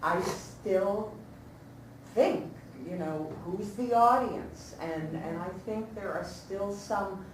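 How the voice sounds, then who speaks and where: Slow-talking woman in a very echoey room. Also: medium pitch. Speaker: audience member giving feedback. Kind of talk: informal spoken opinion. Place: indoor reverberant room at a talkback. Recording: very echoey, distant recording, faint noise.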